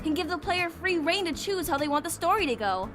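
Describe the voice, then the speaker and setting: A woman's voice: very high voice, expressive, fairly quick, a bit bright, soft background music. An actress playing an eager, earnest young woman who loves visual novels, in a voiced game.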